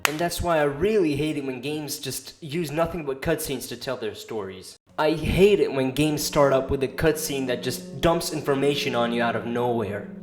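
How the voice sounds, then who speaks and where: A man's voice: medium pitch, moderate pace, hardly any pauses. A man giving casual, informal opinion commentary as a video narrator, with the odd sweary, playful aside. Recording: music under the voice. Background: background music.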